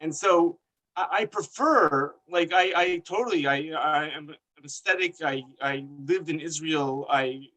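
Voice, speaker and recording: Bright-voiced man. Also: on the high side, a little slow, rough recording. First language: Hebrew. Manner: hesitant, literal-minded, repeats words. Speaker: panelist, liturgy writer. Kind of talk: reflective webinar remarks. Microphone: video call.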